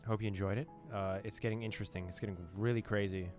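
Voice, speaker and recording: A man's voice: lowish voice, fairly even intonation, quick pace. A man hosting a let's play, commenting casually in slangy asides and reading game text aloud. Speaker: gaming channel host. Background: game music.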